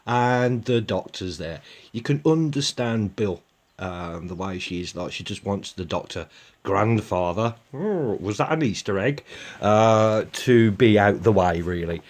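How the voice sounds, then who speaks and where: A man's voice: lowish voice, animated, measured pace. Chatty Birmingham man reviewing TV. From England. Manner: chatty and rambling, many fillers, jokey asides to viewers. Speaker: TV episode reviewer. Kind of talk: casual spoken review.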